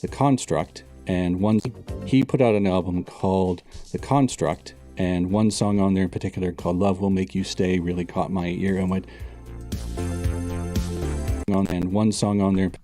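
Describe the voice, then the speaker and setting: A composer being interviewed, calmly recalling the music that influenced him. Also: male voice, low voice, moderate pace. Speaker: composer guest on a podcast. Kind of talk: interview clips, played back repeatedly.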